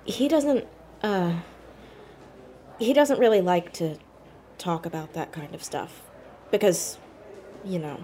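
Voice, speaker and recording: Teen girl detective, inquisitive and dry, natural audio-drama delivery, very animated intonation, faint ambience behind. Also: on the low side, takes it slowly, long pauses, a little hiss or hum. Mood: intrigued. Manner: inquisitive, dry, hesitant on personal matters. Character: Max, curious sharp-witted teenage girl sleuth, orphaned.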